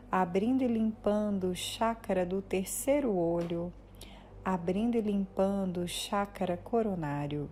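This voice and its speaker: Brazilian female meditation guide; soothing and relaxed delivery, slow phrases with deliberate pauses. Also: mid-range voice. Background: music.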